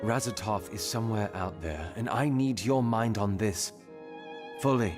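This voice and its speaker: An actor playing a steady investigator in a fantasy video game, measured, earnest and authoritative. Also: male voice, lowish voice, faint music behind, close to an everyday voice. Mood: concerned. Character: steady, commanding male investigator in fantasy city. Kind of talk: dramatic video game voice acting.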